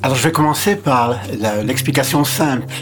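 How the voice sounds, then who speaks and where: A man whose first language is English, speaking as a physician guest and explaining step by step in a measured way that lists and repeats points. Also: medium pitch, over music. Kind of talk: explanatory interview talk.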